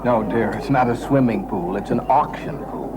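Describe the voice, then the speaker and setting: An actor playing a polite, indulgent husband making hesitant small talk in a TV drama. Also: male voice, mid-range voice, normal speed, close to an everyday voice. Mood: patient, curious. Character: genial, indulgent husband, polite ship passenger. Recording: very noisy old TV soundtrack, ship ambience.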